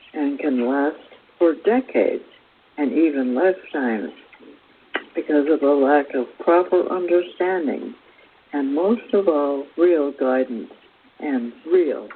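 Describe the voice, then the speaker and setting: A man giving a spiritual lecture as a teacher, in deliberate, halting phrases with measured emphasis. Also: fairly high pitch. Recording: hissy, tinny phone-like recording.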